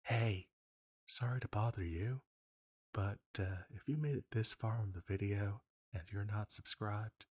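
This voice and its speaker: A man with a husky, slightly low voice speaks casually and directly to his viewers. He sounds like an audio creator giving an informal aside. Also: steady tone, a little slow, darkish tone.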